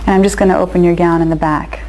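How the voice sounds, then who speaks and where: Woman, mellow warm voice, clinician, calm step-by-step instruction, noticeable background noise. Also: on the low side, fast, poor audio. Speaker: clinician demonstrating an exam. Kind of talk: medical exam demonstration, talking to patient. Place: exam room, recorded for video.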